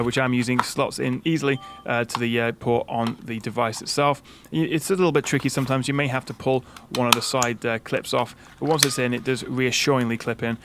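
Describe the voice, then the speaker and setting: A man's voice: mid-range voice, fairly bright tone, a little hiss or hum. A young British man hosting a tech channel, talking through a product setup in a chatty way, with frequent "uh" fillers.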